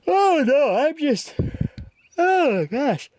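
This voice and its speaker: A man's voice: very high-pitched, big pitch swings, very slow, darkish tone, dry recording, pitched above the performer's own voice, heavily acted. A man voicing a boyish, easily frightened little tank engine in a children's story, flustered and yelping. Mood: weary, flustered.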